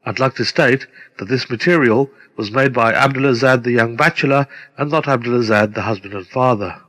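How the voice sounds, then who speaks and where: Man, mid-pitched voice, measured formal scripted narration, long hall reverb. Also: a bit bright. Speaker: creator introducing his radio play.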